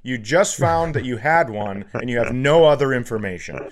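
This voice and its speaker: A man's voice: medium pitch, animated, normal speed. An American man co-hosting a podcast with casual comedic chat in a sardonic, sweary manner. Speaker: podcast co-host.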